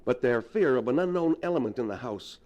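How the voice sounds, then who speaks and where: Casting: man as solemn learned doctor, mid-range voice, ominous measured storyteller for vintage radio horror. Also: medium pitch, expressive, muffled recording, lightly acted. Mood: grave, explaining. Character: grave, learned doctor versed in the supernatural. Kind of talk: 1940s radio acting.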